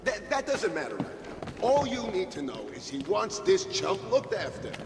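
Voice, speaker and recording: A man's voice: sits high, sing-song, moderate pace. A man playing a blunt, exasperated thug keeping his crew in line in a video game. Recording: echoey hall, clearly noisy.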